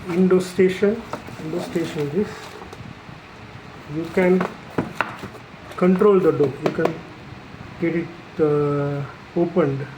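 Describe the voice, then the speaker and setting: Mid-pitched voice, slow halting delivery, mellow tone, matter-of-fact tutorial explanation, faint background noise. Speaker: installer presenting a product tutorial. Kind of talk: unscripted product demonstration, explanatory.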